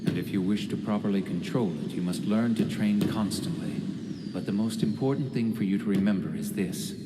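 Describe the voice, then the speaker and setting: A man playing a grave, kindly mage father in an anime dub, speaking in a measured, solemn way. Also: fairly low pitch, noisy background, lightly acted. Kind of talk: anime English dub acting. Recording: echoey long reverb, loud music behind.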